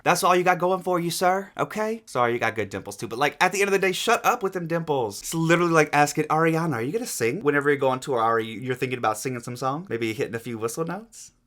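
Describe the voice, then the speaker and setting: A man's voice: on the high side, fairly bright tone. A man hosting a casual reaction video, talking in slangy, sweary, exasperated commentary with sarcastic rhetorical questions.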